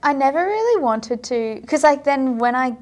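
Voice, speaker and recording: A woman's voice: high-pitched, big pitch swings, normal speed, darkish tone. A young woman from South Africa, chatting casually as a podcast guest and filling her talk with "like". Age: young adult. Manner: chatty, filler-heavy, frequent "like". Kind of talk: casual interview chat.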